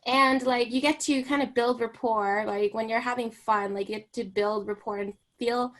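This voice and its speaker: A woman's voice: a little high, steady tone, moderate pace, fairly bright tone. A young woman from Hong Kong, a recreation therapist and guest on a radio interview, talking casually with many fillers and "right?" tags.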